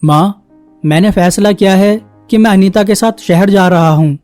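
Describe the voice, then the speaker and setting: A woman's voice: mid-range voice, moderate pace, darkish tone, no room sound, faint music behind, lightly acted. A woman voicing an earnest young man, a dutiful son and husband, explaining himself in a cartoon story. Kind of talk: Hindi cartoon story voice acting.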